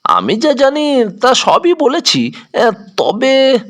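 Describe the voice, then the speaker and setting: A man's voice: very high-pitched, wildly swooping pitch, extremely slow, fairly bright tone, voice pushed up, heavily acted. A man playing an evasive, haughty male suspect with a falsely genial, hesitant, stammering manner in a detective audio drama. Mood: hesitant, nervous. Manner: hesitant, stammering with filler sounds.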